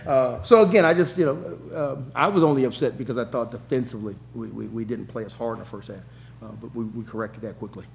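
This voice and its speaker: A middle-aged man answering questions as a head coach at a press conference, with quick, emphatic answers and lots of restarts, speaking into a table mic in a press room. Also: medium pitch, vocal fry, darkish tone, slight background noise. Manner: rapid, repetitive restarts, emphatic.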